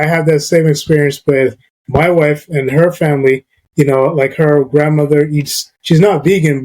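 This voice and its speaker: A man's voice: fairly high pitch, a little mellow, poor audio. A man from Barbados hosting a podcast, talking casually and loosely with plenty of "you know" and "like". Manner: conversational, many fillers like "you know" and "like". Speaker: podcast host.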